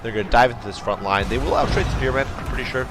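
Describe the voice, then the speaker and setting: Fast-talking man, nasal with a cold. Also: mid-range voice, noisy background. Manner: quick, chatty, many fillers and restarts. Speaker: gameplay commentator. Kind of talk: strategy game battle commentary.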